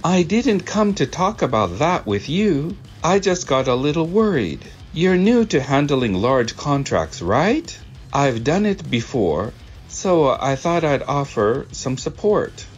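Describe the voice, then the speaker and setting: A man's voice: a little high, very animated, takes it slowly, dull audio. A man voicing an earnest, helpful young office worker in a manga dub, polite and supportive. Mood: concerned. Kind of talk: manga dub character acting.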